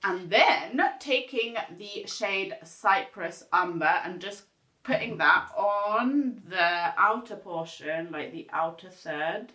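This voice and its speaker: Chatty woman with a bright, echoey voice. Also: medium pitch, expressive, slow. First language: English. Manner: chatty, rambling, lots of "like" and "kind of". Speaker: beauty vlogger. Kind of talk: casual makeup tutorial talk.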